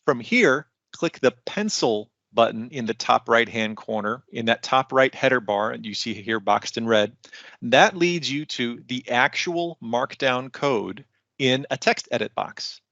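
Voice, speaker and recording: A man's voice: mid-range voice, animated, unhurried, creaky, a bit bright, no room sound, highs cut off. A man giving an informal, conversational instructional walkthrough as a conference presenter. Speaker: conference presenter, documentation team member.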